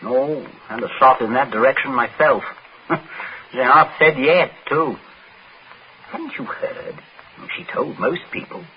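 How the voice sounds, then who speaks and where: An actor playing a breezy captain and neighbour, clipped and wry, in a 1940s radio thriller. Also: male voice, mid-range voice, measured pace, pauses often, lightly acted. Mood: wry, rueful. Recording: muffled, noisy 1940s radio broadcast.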